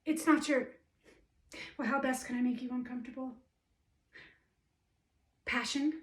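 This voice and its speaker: A woman acts out an ambitious, dynamic woman in a theatrical monologue. She is brisk, witty and emphatic, with a high voice, heard at a distance in a roomy space. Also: steady tone. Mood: indignant, passionate. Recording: roomy echo, distant microphone.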